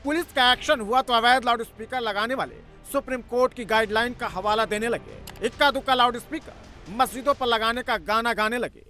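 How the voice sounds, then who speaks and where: A strikingly high-pitched man narrates a news report with a sharp, forceful tone. His scripted delivery is dramatic and emphatic, with music playing clearly behind him. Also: fairly quick.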